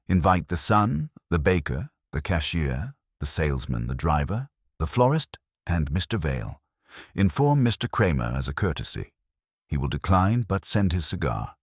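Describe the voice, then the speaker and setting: An imperious, erudite male detective played by a male narrator in an audiobook. The voice is extremely low and moves widely in pitch. He issues terse, formal pronouncements with exact wording, in a dry recording. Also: slightly rough, no room sound, full character voice. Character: commanding, precise, erudite male detective genius. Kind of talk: audiobook character dialogue.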